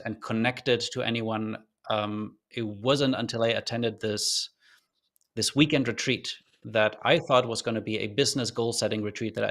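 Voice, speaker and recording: Earnest man with a faint German accent. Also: mid-range voice. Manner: thoughtful, earnest, loose with fillers and restarts. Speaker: podcast guest. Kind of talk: reflective storytelling in an interview.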